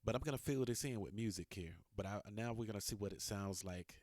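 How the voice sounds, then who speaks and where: A man's voice: lowish voice, quick pace, darkish tone, no room sound, studio quality. A man giving an informal step-by-step production tutorial as a DJ, thinking aloud while he works.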